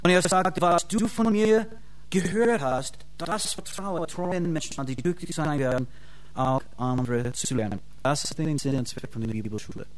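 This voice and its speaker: American man preaching in halting German. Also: fairly high pitch, a little hiss or hum, low-quality recording. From United States. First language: English. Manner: halting, searching for words, many fillers and restarts; fervent bursts of praise. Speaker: pastor and Bible school teacher. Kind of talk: sermon.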